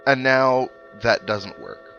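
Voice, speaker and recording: A man with a mid-pitched voice talks through a video game in a casual, analytical way, reasoning out loud as he goes. Game music plays clearly behind him. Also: big pitch swings, slow, highs cut off. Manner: thinks aloud, analytical asides. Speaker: let's player. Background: video game music and sound effects.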